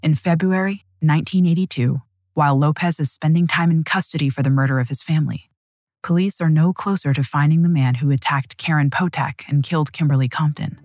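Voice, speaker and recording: A woman narrating a true crime story in a measured, dramatic scripted style. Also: deep voice, darkish tone, no echo, clean studio sound.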